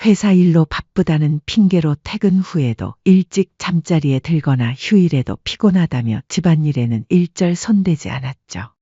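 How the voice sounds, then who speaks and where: 허스키하고 어두운 음색의 여성 내레이터. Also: female voice, deep voice, expressive, moderate pace, few pauses, mellow, slightly rough, dry recording, lo-fi sound. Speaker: narrator. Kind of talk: scripted story narration.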